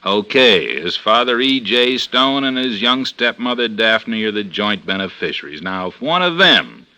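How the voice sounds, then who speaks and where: An actor playing a seasoned, cautious police lieutenant giving measured advice in an old radio drama. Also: male voice, lowish voice, very animated, pushed and bright, exaggerated voice. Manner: measured, world-weary advice. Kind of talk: 1950s radio drama acting.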